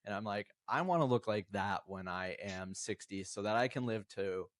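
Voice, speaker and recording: A man in his fifties talks in a low, steady voice, earnest and reflective as he gives his views. The recording is dry and close, with little room sound. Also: moderate pace, dry recording. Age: 50s. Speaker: podcast guest. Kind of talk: casual interview talk.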